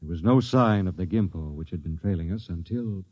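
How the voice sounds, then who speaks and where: An actor playing a stern, authoritative space captain who speaks with measured dignity in a 1950s radio drama. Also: male voice, low voice, a little mellow, muffled recording. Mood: grave narration. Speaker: captain and narrator. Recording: dry, band-limited 1950s radio recording.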